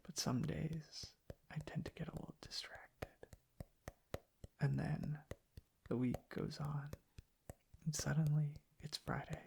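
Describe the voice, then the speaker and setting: Slow, whispery man with a crackly voice. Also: on the high side, creaky. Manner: hushed, halting delivery drifting into whispers. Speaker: ASMR video creator. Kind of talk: soft-spoken ASMR ramble. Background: tapping and clicking.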